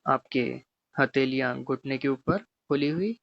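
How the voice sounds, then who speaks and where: A man teaching guided meditation, giving gently repetitive, step-by-step instructions. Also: medium pitch, measured pace, vocal fry, dry recording, dull audio.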